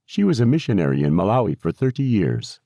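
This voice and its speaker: A man's voice: fairly low pitch, very animated, quick pace, dark tone, dry recording, clean studio sound. A man playing an upbeat, friendly man on a phone call in an audiobook. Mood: cheerful.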